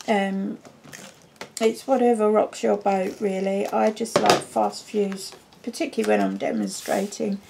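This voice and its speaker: Croaky woman with a cold. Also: medium pitch, warm tone, slight background noise. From United Kingdom. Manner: chatty, with frequent ums. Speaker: craft demonstrator. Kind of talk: card-making tutorial.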